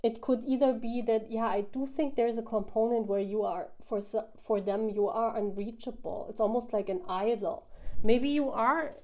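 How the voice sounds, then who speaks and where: A woman giving a casual, intuitive tarot reading to viewers, thinking aloud in a musing, halting way. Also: on the high side, steady tone, dark tone. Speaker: tarot reader, host.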